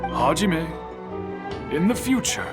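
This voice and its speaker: An actor playing a proud, steady older master artisan in an anime-style video game, speaking formally and with measured phrasing. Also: male voice, fairly high pitch, over loud music. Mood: solemn, proud.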